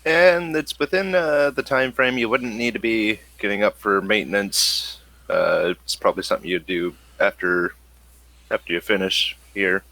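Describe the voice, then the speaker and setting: A man running a tabletop role-playing game as game master, improvising narration in a halting, thinking-aloud way. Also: on the low side, sharp tone, no room sound, rough recording.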